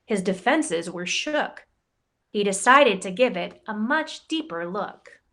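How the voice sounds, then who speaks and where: A woman who directs campus ministry reads a rhyming picture book aloud, playful and expressive, giving the quoted lines a voice of their own. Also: medium pitch, slow. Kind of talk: storybook read-aloud in rhyme.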